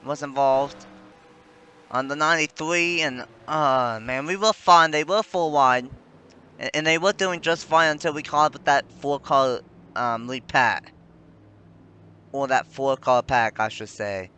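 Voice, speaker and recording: A man's voice: a little high, pushed and bright, clean tone. A man giving rambling, self-correcting play-by-play commentary on a simulated stock car race. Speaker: sim racing commentator.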